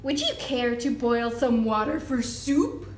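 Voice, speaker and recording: A woman's voice: on the high side, a little slow, natural voice. Woman playing a sly, courteous storybook fox, echoey. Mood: sly, inviting. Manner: formal, smoothly inviting. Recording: roomy echo with background noise.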